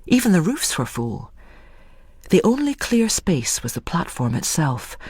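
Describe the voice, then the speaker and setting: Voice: mid-range voice, slightly rough, no room sound, clean studio sound. A storyteller reading a scripted dramatic story as narration.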